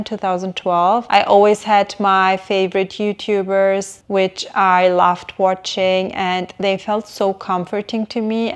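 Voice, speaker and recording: A woman in her thirties, a native Turkish speaker, answering viewer questions in a soft, unhurried, reflective vlog voice-over. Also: mid-range voice, clear, resonant tone. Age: 30s. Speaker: vlogger.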